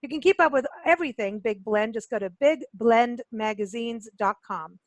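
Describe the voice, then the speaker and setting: A woman's voice: a little high, moderate pace, no room sound, studio quality. A woman co-hosting a radio talk show in a chatty, effusive way, repeating words for emphasis. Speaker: radio show co-host and travel publisher.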